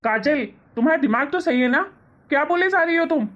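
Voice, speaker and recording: A woman's voice: sits high, expressive, fairly quick, a bit bright, dull audio. A woman voicing an exasperated, scolding young husband in a family drama story. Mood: exasperated, angry. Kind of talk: acted dialogue in Hindi family story.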